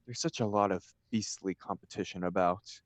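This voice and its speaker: A man voicing a flippant, witty young gentleman dandy, airy and theatrical, in a stage comedy table read. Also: deep voice, normal speed, dry recording, lightly acted. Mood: breezy, witty.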